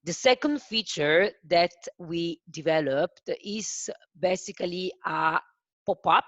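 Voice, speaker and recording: A woman with an Italian accent hosting a software demo webinar, speaking carefully and haltingly with frequent fillers. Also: lowish voice, no room sound, muffled recording. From Italy. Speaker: webinar host.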